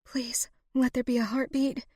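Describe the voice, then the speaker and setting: A woman's voice: high voice, flat delivery, normal speed, darkish tone, no room sound, clean studio sound, voice pushed up. A woman voicing an anguished, devoted woman in love in an audiobook, pleading with raw emotion. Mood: grief-stricken.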